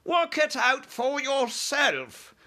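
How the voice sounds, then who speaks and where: Guy doing a regal queen for a storybook, high voice, slow n decisive, very firm. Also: very animated, pushed and bright, exaggerated voice. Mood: resolute. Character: composed, commanding queen, firm and principled. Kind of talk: storybook character voice.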